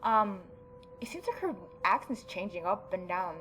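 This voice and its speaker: A woman gaming YouTuber reading a story game aloud and adding expressive, mocking asides. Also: medium pitch, moderate pace, faint music behind, low-quality recording. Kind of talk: let's-play reading aloud with reaction commentary.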